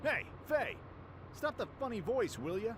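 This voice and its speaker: A man's voice: very high-pitched, sing-song, a little slow, background noise, exaggerated voice. A man playing a blunt, hot-headed young leader in a radio drama, given to exclamations. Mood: puzzled, annoyed. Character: brash, hot-headed young male leader, teasing and protective. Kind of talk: fan radio drama acting.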